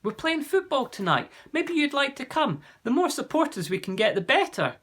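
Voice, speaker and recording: A man's voice: very high-pitched, moderate pace, voice pushed up, heavily acted. A man reading aloud, voicing a cheerful, polite young schoolboy. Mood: friendly. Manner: lively and friendly.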